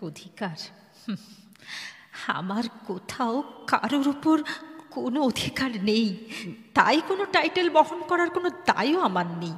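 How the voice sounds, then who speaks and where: A woman playing a despairing, proud and defensive married woman in a Bengali audio drama, with a Bengali accent and a wounded, halting, dramatic manner. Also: fairly high pitch, sing-song. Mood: guarded, bitter. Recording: reverberant hall-like echo.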